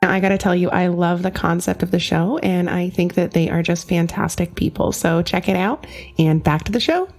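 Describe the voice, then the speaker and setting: Friendly, effusive low-voiced woman host. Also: normal speed, darkish tone, soft background music. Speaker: podcast host. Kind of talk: casual interview chat.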